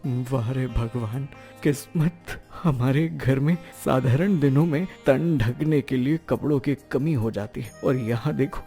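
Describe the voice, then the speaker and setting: Male actor as poor labourer dad, mellow soft-edged tone, humble, worried, polite, cartoon story, music behind. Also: mid-range voice, unhurried, gravelly. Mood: wistful.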